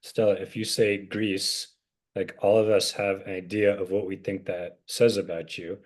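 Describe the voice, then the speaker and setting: A man's voice: sits low, flat delivery, moderate pace. An American man guiding a casual group discussion, asking probing questions in a loose, conversational way. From United States.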